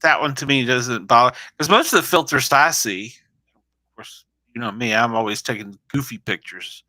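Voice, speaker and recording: Male co-host, very bright tone, dry close sound, relaxed joking chat. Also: mid-range voice, moderate pace, no echo. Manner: easygoing, jokey, laughs often. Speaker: photographer. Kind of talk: casual chat.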